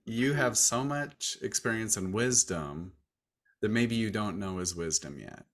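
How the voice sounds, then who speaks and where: A man hosting a podcast, asking rambling, relaxed questions in a casual chat. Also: lowish voice, a little slow. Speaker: podcast host.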